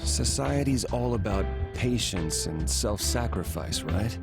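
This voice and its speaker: A man's voice: lowish voice, expressive, unhurried, gravelly, loud music behind the voice. An actor voicing a hesitant, stammering young man who turns earnest, in a game cutscene. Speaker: game character.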